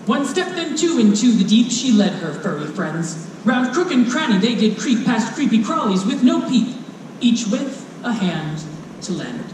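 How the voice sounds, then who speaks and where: A distant, echoing live recording of a storyteller reading rhymes for children. The delivery is slow, playful and theatrical, with big swoops in pitch. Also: sing-song, darkish tone. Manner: playful, dramatic, drawn-out delivery with asides. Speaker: storyteller performing a children's book. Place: live performance room at a convention. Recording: roomy, distant live hall recording with noise.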